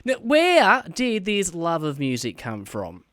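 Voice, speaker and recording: A man's voice: on the high side, slow, no room sound, studio quality. A man with an Australian accent hosting an afternoon radio show, interviewing a guest in a playful, upbeat way. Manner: lively, playful host delivery. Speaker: radio host.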